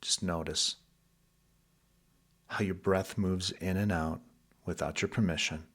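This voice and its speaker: Slow, low-voiced man guiding sleep hypnosis. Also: steady tone, fairly bright tone, husky. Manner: soothing, deliberate, measured phrasing. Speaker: hypnosis guide. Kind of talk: guided sleep hypnosis narration.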